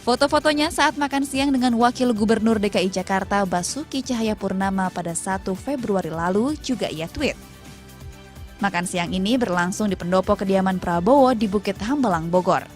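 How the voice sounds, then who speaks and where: A woman voices a news feature in a steady, informative read. Her pitch sits in the middle range, and soft music plays quietly behind her. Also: moderate pace. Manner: even, informative delivery. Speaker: news narrator. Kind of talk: scripted voice-over narration. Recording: voice-over with faint music.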